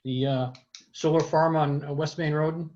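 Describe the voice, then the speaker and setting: An American man chairing an online committee meeting in an informal, halting way, with muffled sound. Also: a little high, steady tone, a little mellow. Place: remote video meeting.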